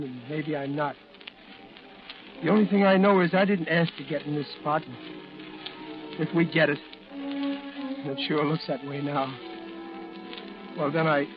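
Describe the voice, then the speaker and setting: An actor playing a tough, plain-spoken working-class American Marine in a 1940s radio drama, blunt and halting in his speech. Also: on the high side, natural voice. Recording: muffled old radio broadcast, music underneath.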